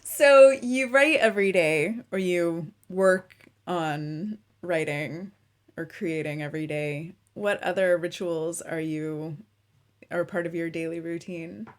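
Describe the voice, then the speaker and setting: A woman's voice: fairly low pitch, takes it slowly. A woman hosting an interview, asking questions and offering short, encouraging affirmations. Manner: encouraging, brief affirmations, laughs along. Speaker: interview host. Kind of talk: casual interview.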